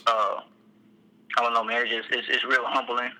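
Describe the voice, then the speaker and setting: A man, a rapper, talking about his music in a casual phone interview, laid-back and halting, often repeating words. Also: medium pitch, flat delivery, sharp tone. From Texas, USA. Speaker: rapper guest. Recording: tinny phone line.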